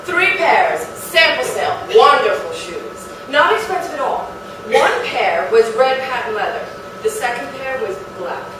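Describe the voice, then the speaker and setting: An actress plays an affected, dreamy wife who lingers theatrically over her phrases. Her voice is high, heard from a distance in a noisy live play reading. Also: lively intonation, measured pace, very echoey. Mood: gushing, dreamy. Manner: theatrical, savouring each phrase. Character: dreamy, affected, shoe-loving wife of a shunned undertaker. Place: live reading in an echoey performance room.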